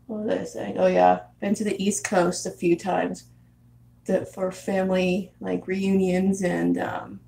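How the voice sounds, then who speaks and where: A woman in her twenties from San Diego, chatting casually with viewers as a vlogger, laughing often and filling pauses with "um" and "like". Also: fairly low pitch, level tone, gravelly, mellow, slight background noise, low-quality recording. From San Diego, California. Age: 20s. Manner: chatty, frequent laughs and fillers. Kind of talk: casual live chat reading comments. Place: house in Arizona.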